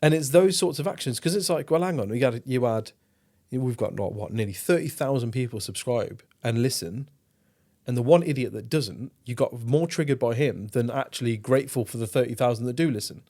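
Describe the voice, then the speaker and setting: A man's voice: mid-range voice, animated, a little fast, darkish tone. Rambling, casual man hosting a podcast. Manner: rambling, casual, ums and ahs, swears freely. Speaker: podcast host.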